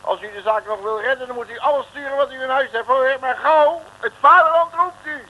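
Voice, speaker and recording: An actor playing a bumbling, boastful, excitable detective in a folksy, exclamatory 1960s radio comedy serial. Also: male voice, extremely high pitch, brisk, lo-fi sound. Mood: urgent, bluffing. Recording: noisy old radio recording.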